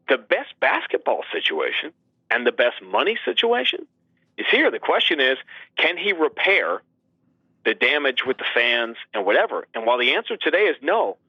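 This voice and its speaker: A man working as a sports announcer, talking basketball as an animated, emphatic guest who leans on rhetorical questions, over a dull radio-like line. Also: medium pitch, breathy edge, no room sound.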